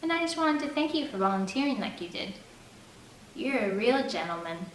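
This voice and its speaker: A woman's voice: fairly high pitch, big pitch swings, normal speed, pitched above the performer's own voice. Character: a woman voicing a sweet, earnest teenage girl. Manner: bright and polite. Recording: echoey room, distant mic, faint air-conditioner hum.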